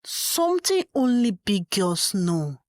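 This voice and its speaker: Hushed, coaxing uncle for a read-aloud story, put on by a woman narrator; slow, soft delivery. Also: medium pitch, sing-song, no room sound, clean studio sound. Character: adult man, soft-spoken coaxing uncle.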